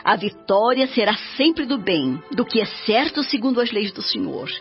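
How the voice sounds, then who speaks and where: A woman's voice: on the high side, lively intonation, lightly acted. Woman acting a solemn, devoted mother spirit, music behind. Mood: solemn, tender. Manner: solemn, measured, didactic. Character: serene, devoted older mother spirit, gently instructing her son. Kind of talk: radio drama acting. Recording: dull radio drama track with music.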